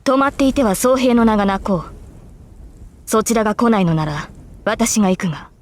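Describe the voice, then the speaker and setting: Woman acting a proud, quick-spoken swordswoman knight. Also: mid-range voice, lively intonation, lightly acted. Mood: challenging. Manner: formal, firm, defiant. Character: proud, stern young female knight swordswoman, fearless and formal. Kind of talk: Japanese visual novel voice acting.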